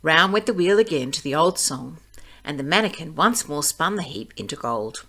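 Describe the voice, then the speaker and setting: A woman reading a fairy tale aloud as storyteller, in expressive scripted narration. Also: deep voice, normal speed.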